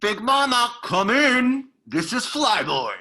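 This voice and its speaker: A man's voice: extremely high pitch, big pitch swings, slow, pushed and bright, exaggerated voice. A man playing a cocky, teasing comrade calling in by hand radio in a screenplay table read, breezy and clipped.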